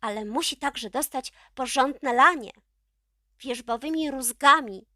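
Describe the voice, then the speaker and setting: A woman voicing vengeful witches of a coven, loud, shrill and insistent, in a children's storybook. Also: measured pace, dry recording, clean studio sound. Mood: clamoring. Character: clamoring, vengeful coven witches demanding punishment.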